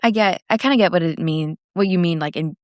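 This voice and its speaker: A teenage girl chats loudly and talkatively as a podcast co-host. Her voice sits a little low for a woman. The sound is dry, with no room echo. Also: fast talker. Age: teens. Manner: chatty and loud, laughs between stories. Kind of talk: casual chat.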